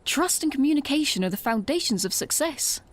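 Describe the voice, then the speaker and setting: A woman's voice: high voice, lively intonation, fairly quick. A woman voicing a formal, obedient female robot companion who calls the others Master. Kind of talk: fan audio drama acting.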